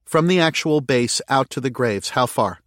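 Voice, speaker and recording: A man's voice: mid-range voice, lively intonation, moderate pace, a bit bright, no room sound, studio quality, pitched above the performer's own voice, close to an everyday voice. A man voicing an earnest, thoughtful woman in an audiobook, questioning and tentatively thinking aloud. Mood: probing. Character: earnest, thoughtful woman reasoning through a mystery. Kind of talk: audiobook character dialogue.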